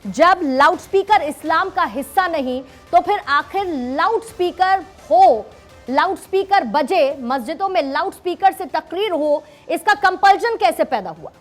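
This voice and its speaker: A woman with a very high-pitched voice works as a television news interviewer. She presses her guest insistently, framing pointed questions in a rhetorical debate style. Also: expressive, a little slow, faint music behind.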